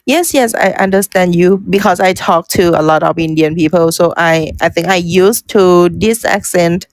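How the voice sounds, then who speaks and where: A woman's voice: lowish voice, normal speed, no room sound. A young woman from Thailand speaking English with a Thai accent in casual conversation, hesitant and often restarting as she searches for words. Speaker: guest, content writer.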